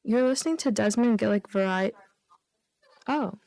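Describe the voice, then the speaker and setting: A woman's voice: a little high, normal speed, gravelly, a little mellow, no echo, low-quality recording. A woman guest on a radio comedy show, reading jokes aloud and bantering casually. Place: radio studio.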